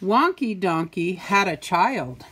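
Slow-paced older woman reading a storybook. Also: lowish voice, lively intonation, a bit bright, husky. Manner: sing-song, playful, deliberate emphasis. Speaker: grandmother reading a picture book aloud. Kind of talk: storybook read-aloud.

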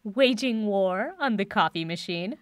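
An actress playing a confident, brisk woman professor with a dry wit in a naturalistic audio drama. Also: medium pitch, lively intonation, dry recording, natural voice. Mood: wry, teasing. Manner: brisk, wry, decisive.

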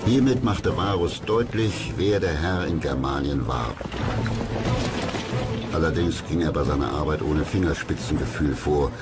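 Casting: male history narrator, extremely low voice, measured and factual, music bed behind. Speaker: documentary narrator. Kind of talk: scripted history documentary narration. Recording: clear music under the voice.

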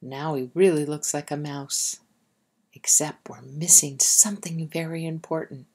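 A woman's voice: low voice, fairly even intonation, a little slow, dark tone, husky. A woman reading aloud to young children and guiding them in simple, instructive language, speaking directly to the listener. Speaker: storybook reader and drawing guide.

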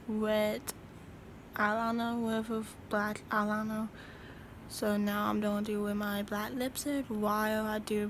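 A tired young woman talking slowly into a phone held close, rambling and half-whispering in a small room. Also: mid-range voice, gravelly, a little hiss or hum. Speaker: vlogger. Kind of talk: casual selfie video chat.

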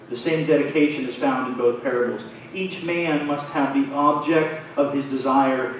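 A man in his thirties preaching a sermon in a small church, his phrases rising and falling in a measured way. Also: medium pitch. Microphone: far camera, pulpit mic. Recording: very echoey church from far off, faint noise.

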